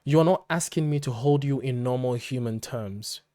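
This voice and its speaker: A man with a mid-pitched voice, recorded close and dry. As a podcast co-host he gives blunt, conversational commentary, arguing emphatically and posing rhetorical questions. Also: normal speed, dark tone, no room sound.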